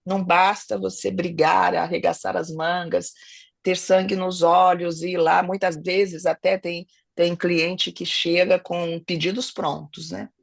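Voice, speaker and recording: A middle-aged Brazilian woman with a hoarse, gravelly voice that sits a little low. She talks earnestly on a video call as a family lawyer and mediator, circling back, restarting phrases and checking in with tag questions. The sound is dry and close. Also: level tone, unhurried, no room sound. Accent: Brazilian Portuguese. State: hoarse, has a cold. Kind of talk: online webinar talk.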